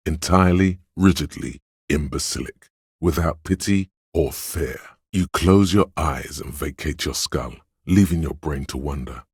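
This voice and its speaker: A man voicing a flamboyant, cocky inner voice of style and hustle in a video game, with a suave, theatrical, deliberate delivery and a gravelly, husky tone. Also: extremely low pitch, no echo, clean studio sound, full character voice. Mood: grandiose. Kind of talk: dramatic scripted game narration in second person.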